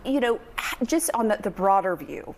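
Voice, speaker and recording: A woman news anchor running a live TV interview, asking probing questions briskly and pushing back on her guests. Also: mid-range voice, expressive, darkish tone, a little hiss or hum.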